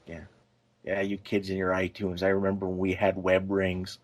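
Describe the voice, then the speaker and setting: A man's voice: low-pitched, monotone, normal speed. A man co-hosting a podcast in casual chat, mostly responding briefly as he listens. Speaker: podcast co-host.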